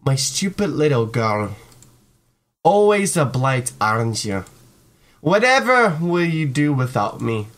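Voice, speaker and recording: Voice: mid-range voice, very animated, slow, voice pushed down. A performer voicing a domineering, possessive mother who belittles her child in a visual novel. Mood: belittling.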